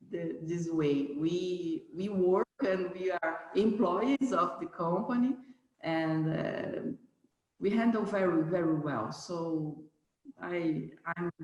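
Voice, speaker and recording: Slow-speaking Brazilian woman in an echoey room. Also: fairly low pitch. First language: Portuguese. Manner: halting, searching for words in non-native English. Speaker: company manager being interviewed. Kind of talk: interview answers about a family business.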